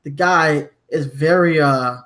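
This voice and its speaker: A man from Germany hosting a podcast, discussing news conversationally with halting, repeated word starts. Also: a little high, bright, forceful tone. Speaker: podcast host.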